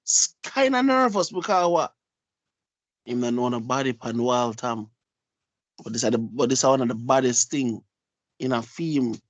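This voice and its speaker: A man's voice: mid-range voice, expressive, moderate pace, pauses often, dry recording, dull audio. Jamaican man telling a street story. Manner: storytelling, heavy patois, repeats phrases. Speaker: podcast co-host. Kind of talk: casual chat.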